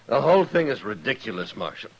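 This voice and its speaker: An actor playing a smug, callous traveling photographer, glib and mannered, in a 1950s radio western. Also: male voice, lowish voice, muffled recording, heavily acted. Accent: American. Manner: glib, self-satisfied, mannered.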